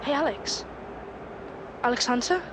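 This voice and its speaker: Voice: high-pitched, unhurried, background noise. A performer playing a shy, starstruck young child fan in a video game cutscene. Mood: shy, admiring.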